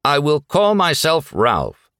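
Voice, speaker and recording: Man as naive anxious young-hearted fellow, earnest, declamatory, pitched up, very varied intonation, storybook. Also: huge pitch swings, slow, fairly bright tone, clean tone, dry recording, studio quality, heavily acted. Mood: firm. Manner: earnest, declaring proudly then doubting. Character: naive, anxious, earnest male searching for identity.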